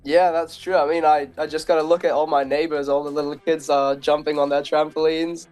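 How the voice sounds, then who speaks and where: A young man from Austria, an athlete guest answering in a relaxed, easygoing way. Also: a little high, a little fast, faint music behind. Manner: easygoing, laughs often. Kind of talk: casual interview answers.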